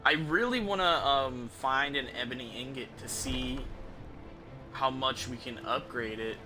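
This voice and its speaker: A man's voice: mid-range voice, measured pace. A young adult man giving casual, rambling gameplay commentary as a streamer, indoors at home. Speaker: game streamer. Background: game music.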